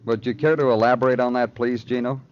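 A man's voice: mid-range voice, fairly even intonation, quick pace, natural voice. An American man playing a terse, patient police lieutenant in a vintage radio crime drama, with a clipped, understated, probing delivery. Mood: dryly patient. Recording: muffled old radio recording.

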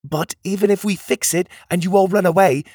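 Man voicing a curious calm adventurer. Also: high voice, fairly quick, breathy edge, no echo, studio quality, voice pushed up.

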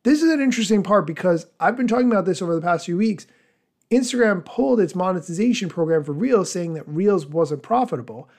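A man hosting a news podcast, reading a scripted report and quotes in a measured, explanatory way. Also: high-pitched, expressive, a little mellow. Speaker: podcast host. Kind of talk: scripted news narration with commentary.

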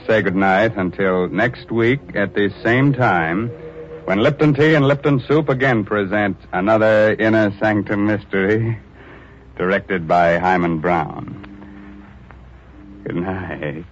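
An actor playing a macabre, wry, teasing horror host in 1940s radio style. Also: male voice, fairly low pitch, lightly acted. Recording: muffled old radio recording with music.

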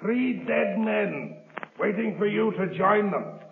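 Casting: ruthless scheming villain, highish creaky voice, commanding threats, roomy old radio serial sound. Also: male voice, slow, narrow, tinny audio, full character voice. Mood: gloating. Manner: curt, commanding, menacing. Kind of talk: 1930s radio drama acting. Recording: roomy, band-limited old 1930s radio recording.

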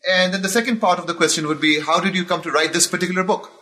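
A man's voice: high voice, racing, bright, forceful tone. A man hosting an academic book interview, asking questions politely and formally with frequent uhs. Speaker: podcast host.

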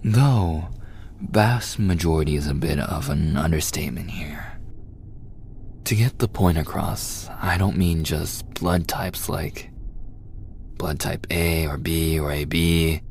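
A man performs as an obsessive, hungry vampire in a boyfriend ASMR roleplay. The voice sits very low and moves slowly, breathy and often sinking into a gloating, intimate whisper. A faint layer of ambient noise lies behind it. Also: a little mellow, exaggerated voice. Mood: dark, explaining. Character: obsessive, possessive male vampire, starved and hungry for blood.

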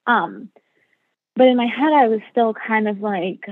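A woman's voice: fairly high pitch, unhurried, no room sound. A woman whose first language is Spanish, a guest in a casual interview who rambles and thinks aloud. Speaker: guest, mother. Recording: thin phone-like line.

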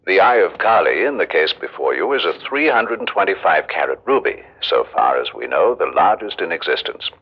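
An actor playing a recorded museum guide in a radio drama, narrating formally with measured, grandiose solemnity. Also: male voice, sits low, sing-song, husky, exaggerated voice. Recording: dull old radio recording.

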